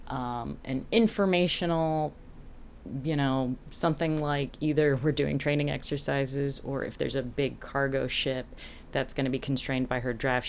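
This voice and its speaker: A woman with a strikingly low voice talks to her viewers in a casual, explanatory vlog style. She is candid and self-deprecating, dropping animated asides as she goes. There is some ambient noise behind her. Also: gravelly. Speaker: boat captain and video host.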